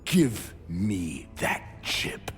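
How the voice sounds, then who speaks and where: A man's voice: deep voice, big pitch swings, extremely slow, long reverb tail, faint music behind, full character voice. A man playing a stern, stubborn warship captain in a video game, authoritative, clipped and condescending. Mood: angry command. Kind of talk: video game cutscene acting.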